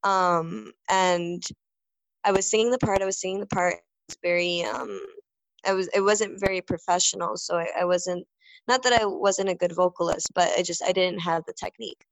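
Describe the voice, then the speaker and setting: Young female singer, lowish voice, chatty and rambling, close dry recording. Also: moderate pace, no echo, rough recording. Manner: rambling, many fillers and restarts. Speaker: band vocalist. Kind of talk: casual interview answer.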